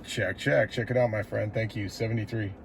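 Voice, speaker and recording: A man's voice: on the low side, fairly even intonation, fairly quick. An American man working as a CB radio operator, making station calls and chatting casually in a laid-back, slangy way. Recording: clear background radio noise.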